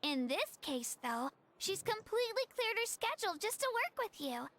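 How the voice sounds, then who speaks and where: A woman's voice: extremely high pitch, big pitch swings, normal speed, a bit bright, heavily acted. A woman voicing an earnest, eager and modest young girl warrior in a video game, with a youthful, slightly hesitant delivery. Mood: admiring, hopeful. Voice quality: youthful, girlish.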